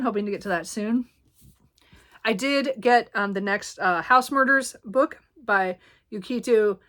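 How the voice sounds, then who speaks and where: A woman chatting casually about her new books, rambling with many ums and self-corrections, a little hoarse and clearing her throat. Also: medium pitch, sharp tone. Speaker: book vlogger. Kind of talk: casual book haul chat.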